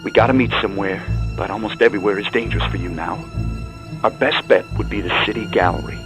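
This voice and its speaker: Man acting a low-voiced, grave mobster. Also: fast talker, over music, natural voice. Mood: grave, urgent. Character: grave, secretive male mobster offering help. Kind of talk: dramatic video game cutscene acting.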